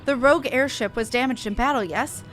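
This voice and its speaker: A woman's voice: high voice, fairly quick, fairly bright tone, faint music behind. A woman voicing an earnest, chatty engineer from a fantasy game, reading the dialogue aloud in formal, old-fashioned phrasing. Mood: inquisitive.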